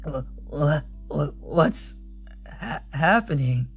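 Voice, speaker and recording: A man acting out a panicky, high-pitched young furry creature. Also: big pitch swings, takes it slowly, gravelly, fairly bright tone, soft background music, full character voice. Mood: weak, pleading. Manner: stammering, whimpering, exclaiming. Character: frightened young male furred creature, easily panicked. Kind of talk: dramatic reading of a game character.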